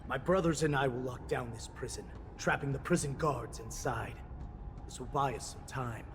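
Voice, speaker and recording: A man's voice: medium pitch, moderate pace, vocal fry, close to an everyday voice. A man voicing a cunning, humble beast counselor in disguise in a video game, speaking with formal, theatrical deference. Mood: earnest, scheming. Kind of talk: dramatic video game voice acting. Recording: game audio with music behind.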